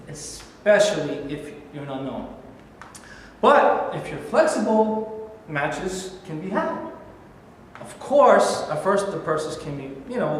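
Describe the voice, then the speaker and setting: A man playing a blunt ex-boxer uncle. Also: a little high. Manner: blunt, persuasive, working-class. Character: middle-aged former boxer uncle, blunt and coaxing. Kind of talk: staged play reading. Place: large bare rehearsal studio. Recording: very echoey room, faint hiss.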